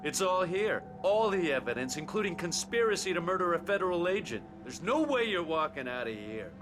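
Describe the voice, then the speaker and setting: An actor playing a drunken, belligerent federal agent in a video game, sneering and mocking. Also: male voice, high-pitched, sing-song, fairly quick, music in the background. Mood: gloating, mocking. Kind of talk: video game cutscene acting.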